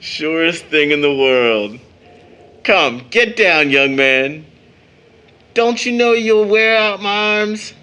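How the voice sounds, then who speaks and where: A man's voice: on the high side, lively intonation, slow pace, sharp tone, smooth, heavily acted. A man acting as a proud young uncle in an audio drama, with a theatrical, formal way of talking. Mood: teasing. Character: playful, teasing young uncle, mock-pompous, proud, later embittered.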